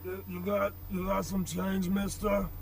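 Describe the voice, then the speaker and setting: A male actor playing a meek street beggar in a video game, speaking in a halting, pleading manner. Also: high-pitched, monotone, slight background noise.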